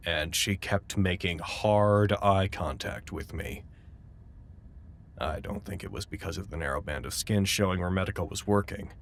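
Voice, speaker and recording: An actor playing a fussy, self-important documentarian narrating a measured first-person log in an audio drama. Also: male voice, deep voice, gravelly.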